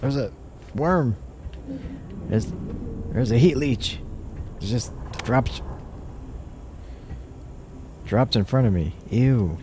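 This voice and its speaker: A man hosting a relaxed ASMR gaming livestream, soft-spoken, reacting in slow drawn-out words. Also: mid-range voice, noisy background. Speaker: streamer. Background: game music.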